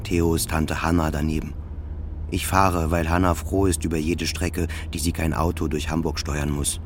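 An actor playing a depressed, self-loathing family father in an intimate radio play monologue, speaking in halting, repetitive phrases. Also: extremely low pitch, level tone, slight background noise. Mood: subdued, reflective.

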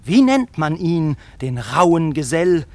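A man's voice: fairly high pitch, big pitch swings, darkish tone, no echo, exaggerated voice. A man playing a plucky but anxious little fairy-tale tailor with theatrical delivery in a children's radio play. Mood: reciting solemnly. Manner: theatrical, deliberate when reciting.

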